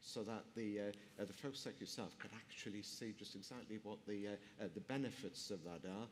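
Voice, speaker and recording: Halting man answering a panel. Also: fairly low pitch, a little hiss or hum. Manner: halting, with repeated uh fillers. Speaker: pitch presenter answering a panel.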